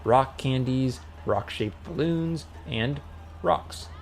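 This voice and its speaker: A man narrating a comic fantasy audiobook in a lively, scripted storytelling style. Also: medium pitch, slow pace, vocal fry, soft background music.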